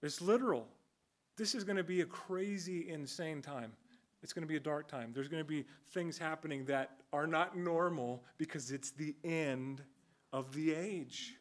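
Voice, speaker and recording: A pastor in his thirties preaching from the pulpit, his voice sitting a little high for a man. He talks in an earnest, conversational way, rising for emphasis as he works through the passage, recorded on a pulpit mic in a church sanctuary. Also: normal speed. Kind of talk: expository sermon. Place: church sanctuary with a stage and band gear.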